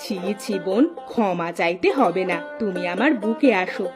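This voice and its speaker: A woman's voice: mid-range voice, lively intonation, normal speed, music in the background, close to an everyday voice. A woman playing a firm, big-hearted village neighbour wife in a cartoon, speaking emphatically. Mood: reassuring, generous. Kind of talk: Bangla cartoon dialogue acting.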